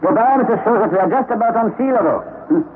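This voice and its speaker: A commanding professor uncle leading an expedition, voiced by a man in an old radio play. His pitch swings widely as he gives orders. The sound is thin and phone-like with a faint hiss. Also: animated, very fast. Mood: methodical. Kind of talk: 1930s radio drama acting.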